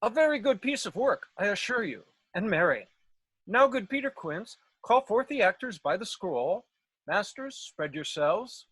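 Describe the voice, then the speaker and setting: A woman's voice: mid-range voice, very animated, measured pace, slightly rough, no room sound. A woman playing a self-important, overeager male weaver in a Shakespeare read, declaiming theatrically. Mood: eager, bossy. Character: Nick Bottom, a bombastic, overeager male weaver and amateur actor. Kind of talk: Shakespeare table read over video call. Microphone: video call, close.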